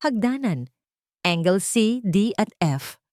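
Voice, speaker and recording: A woman's voice: medium pitch, sing-song, slow pace, a little mellow, no echo, clean studio sound, voice pushed up. A woman voicing an anguished, commanding billionaire father in a Tagalog audio story. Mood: tense, commanding. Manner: commanding, urgent. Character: anguished billionaire father barking urgent orders.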